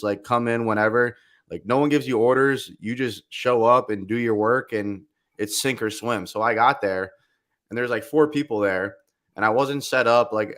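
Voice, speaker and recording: A young man with a loud voice, talking casually as a podcast guest, animated and quick in bursts. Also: medium pitch. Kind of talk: casual chat.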